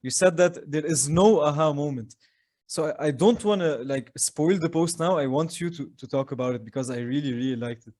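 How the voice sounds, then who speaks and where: A man's voice: fairly high pitch, a little fast, a little mellow. A man hosting a podcast, talking in a casual way with fillers and restarts. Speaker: podcast host.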